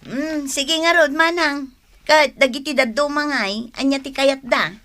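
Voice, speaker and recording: A woman's voice: sits high, a little slow, bright, forceful tone. A woman with an Ilocano accent playing a sweet, agreeable younger sister in a radio comedy drama. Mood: amused. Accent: Ilocano, northern Philippines.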